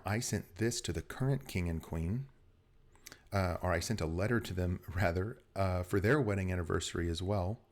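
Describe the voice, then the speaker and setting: A man hosting a casual video show, chatty and explanatory, his voice a little scratchy today. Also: low-pitched. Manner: chatty, explanatory, occasional small laughs. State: scratchy voice from weather change. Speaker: host.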